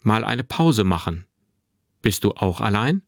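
A man's voice: lowish voice, big pitch swings, a little slow, vocal fry, no room sound, studio quality, full character voice. A man voicing a friendly, easygoing and slightly bemused grown man on holiday, in dialogue from a narrated story. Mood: friendly, curious.

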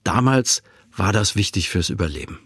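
A man in his sixties from West Berlin, hosting the conversation and telling anecdotes in a lively way, with some hesitations. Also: sits low, normal speed, breathy edge, dry recording, studio quality. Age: 60s. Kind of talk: conversational interview.

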